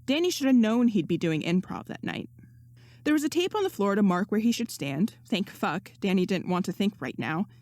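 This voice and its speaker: A woman reading fan fiction aloud as narrator, in an expressive audiobook style. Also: mid-range voice, moderate pace, no echo. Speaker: podfic narrator.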